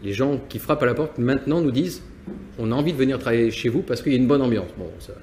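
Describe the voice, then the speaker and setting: Brief: male company owner from Nantes, halting and reflective, mid-pitched. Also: darkish tone, slight background noise. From France, Nantes. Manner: hesitant, many restarts and repeated words. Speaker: business owner. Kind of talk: interview answers, personal reflection.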